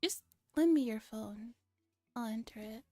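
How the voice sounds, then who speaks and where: Female VTuber host, soft mellow tone, dry close mic with faint music behind, casual and playful chat. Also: fairly high pitch, a little slow, no echo. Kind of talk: casual livestream chat, reading a visual novel aloud.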